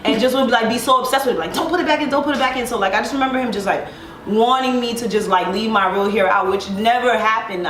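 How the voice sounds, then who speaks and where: A woman's voice: a little high, fairly bright tone. Chatty woman from Brooklyn, roomy sound. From Brooklyn, of Haitian family. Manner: chatty, fills her talk with "like", laughs as she talks. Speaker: panel guest. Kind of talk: casual chat, candid storytelling. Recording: roomy sound, mic at a distance, faint noise.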